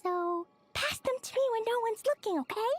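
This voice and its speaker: A woman voicing a bubbly, mischievous little girl in a video game, with a squeaky childlike voice and excitable delivery. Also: normal speed. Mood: playful. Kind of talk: video game character voice acting. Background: game music.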